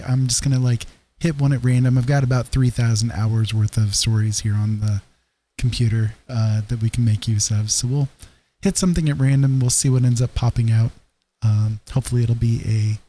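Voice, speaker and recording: A man hosts a stream in casual, slightly halting chat. His voice is medium in pitch with a soft, mellow tone. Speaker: stream host.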